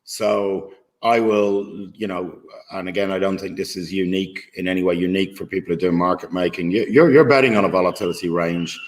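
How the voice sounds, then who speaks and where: A man with a lowish voice talks casually as a guest. He rambles through fillers and restarts, and he is blunt, with the odd swear word. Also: steady tone, a little fast, low-quality recording. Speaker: guest market maker. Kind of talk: casual video-call conversation. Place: at home in his city.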